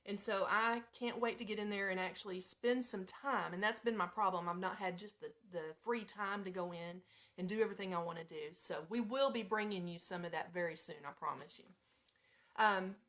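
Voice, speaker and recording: A woman with a Southern US accent hosting a live show from home, chatting to listeners in a playful, folksy, expressive way. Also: mid-range voice, normal speed. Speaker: radio show host. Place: at home in an apartment.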